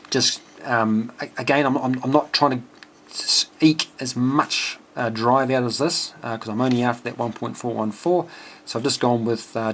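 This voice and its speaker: A man's voice: fairly low pitch, slight background noise. A man giving an informal technical walkthrough as a hobbyist builder, methodical and matter-of-fact, thinking aloud as he goes. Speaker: amateur radio builder explaining his circuit.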